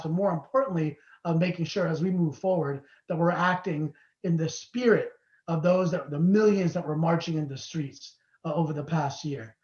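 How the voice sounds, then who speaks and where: A man's voice: fairly high pitch, darkish tone. A man who is a state assembly member, giving an earnest, urgent political speech over a video call. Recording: echoey dull sound.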